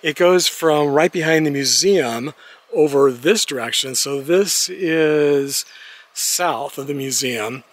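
A man's voice: a little high, slow. A man chatting casually as he guides a walking tour outdoors, laughing often. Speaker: vlogger walking-tour host. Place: outdoors on a neighborhood street at dawn, walking.

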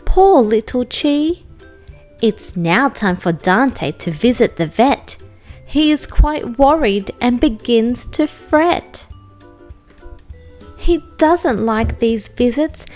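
Aussie lady reading a kids picture book, really slow and expressive, music playing behind. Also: on the high side. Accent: Australian. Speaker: storytime narrator and host. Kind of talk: children's picture book read aloud, scripted rhyming narration.

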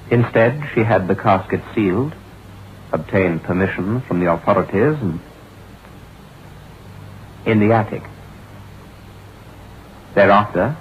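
An actor playing a grave lawyer recounting a grim account in a formal, portentous way, in old-time radio drama style. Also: male voice, low voice. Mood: dread. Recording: dull, hissy vintage radio recording.

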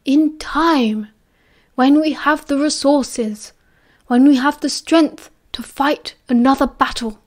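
A woman reading as a soft-spoken old wizard. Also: sits high. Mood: calm, measured. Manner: slow, soft, half-whispered delivery. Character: old male headmaster wizard, calm and measured.

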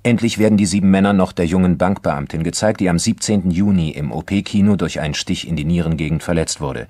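A man narrating a crime documentary drama from a script in a formal, measured manner. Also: low-pitched, a little mellow, no echo.

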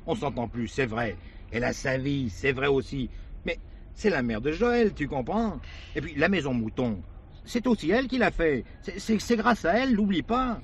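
An actor playing an evasive, weary married man in a radio play, hesitant and quick to bluster. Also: a little high, expressive. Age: middle-aged. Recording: noisy recording with background ambience.

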